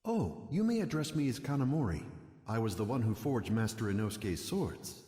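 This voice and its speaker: A man's voice: medium pitch, very animated, normal speed, mellow, lightly acted. A man voicing a polite, mild-mannered swordsmith proud of his craft, formal and courteous, in an anime game. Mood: polite pride. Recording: echoing reverb, faint music behind.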